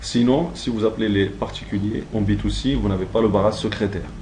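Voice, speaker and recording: A man's voice: fairly low pitch, fairly even intonation, brisk, darkish tone, a little hiss or hum. A man giving an instructional training talk, explaining step by step in a didactic, semi-improvised way. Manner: didactic, step-by-step, checks understanding. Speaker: trainer teaching call-centre phone technique.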